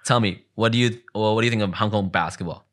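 A young man with a somewhat low voice hosts a podcast. He asks questions in a loose, casual way, chatting close to a studio microphone. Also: fast talker, a bit bright. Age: young adult. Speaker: podcast host. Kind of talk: casual interview chat. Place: small dim podcast studio indoors. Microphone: close studio mic on boom arm.

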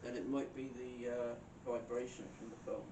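A jokey man calls questions out to spirits in a bare stone room. He stands well back from the mic, so his voice comes through thin and echoey. A steady hiss sits under everything he says. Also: mid-range voice, moderate pace, recorded from a distance. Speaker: paranormal investigator. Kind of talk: casual chat, calling out to spirits. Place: stone room in an old mine building at night.

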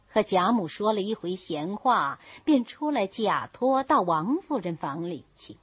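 A woman's voice: medium pitch, breathy edge, dry recording. A woman narrator reads a classic novel aloud expressively, voicing the dialogue as she goes. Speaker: audiobook narrator. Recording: dull, lo-fi, dry recording.

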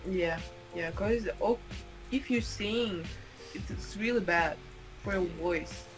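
A 21-year-old woman from Uzbekistan, chatting on a video call, animated and expressive. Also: medium pitch, takes it slowly, music in the background, rough recording. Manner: animated and expressive, exclaims and laughs often. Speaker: video chat user. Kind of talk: casual video chat with strangers. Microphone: webcam video call.

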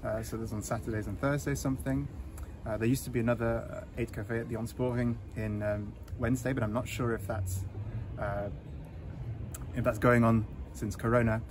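A man, talking in a relaxed, halting way with many fillers during a casual interview in an outdoor community garden. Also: medium pitch. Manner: easygoing, hesitant with many fillers. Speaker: interview guest, volunteer. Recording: outdoor noise with passing traffic.

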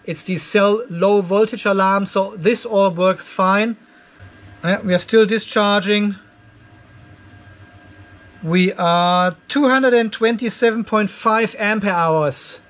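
A man in his fifties with a notably high-pitched voice hosts a casual hands-on test video. He explains what he sees and thinks aloud as he goes. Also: a bit bright. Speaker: host of an off-grid solar video channel. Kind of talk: casual product test commentary. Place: cluttered garage workshop.

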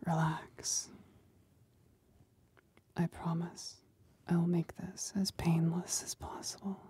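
Woman acting as a slow, whispering demoness. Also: fairly low pitch, level tone, warm tone, full character voice. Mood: coaxing, commanding. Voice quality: breathy whisper. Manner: slow, deliberate, soothing yet domineering. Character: possessive female demon companion, seductive, commanding, coaxing. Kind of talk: whispered ASMR roleplay.

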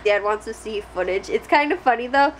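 A woman's voice: on the high side, animated, fairly quick, a little hiss or hum. A young adult woman vlogging casually to a close camera in a small bedroom, rambling with lots of fillers and restarts. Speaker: family vlogger. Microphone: close camera at face height.

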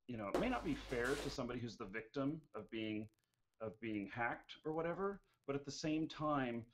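A man in his forties from the United States, hosting a live-streamed Q&A in a chatty, joking way with many fillers, on a headset mic at home. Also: medium pitch. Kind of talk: casual live-stream chat. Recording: dull lo-fi stream audio.

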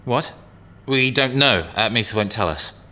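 A man's voice: lowish voice, animated, a little slow, bright, forceful tone, heavily acted. An actor playing an earnest, anxious young male rookie in a science-fiction audio drama, with a hesitant, worried delivery. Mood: nervous, defensive.

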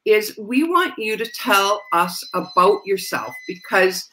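A woman's voice: medium pitch, lively intonation, slow pace, pushed and bright, slight background noise, low-quality recording. An older woman hosting an interview over a video call, chatty and upbeat. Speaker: host. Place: home room, indoors. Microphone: webcam on video call.

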